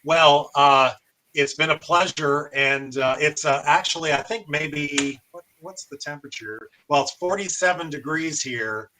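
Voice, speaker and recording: A man's voice: fairly high pitch, unhurried, pushed and bright, a little hiss or hum, poor audio. An American man hosting a casual amateur radio demonstration and chatting as the operator.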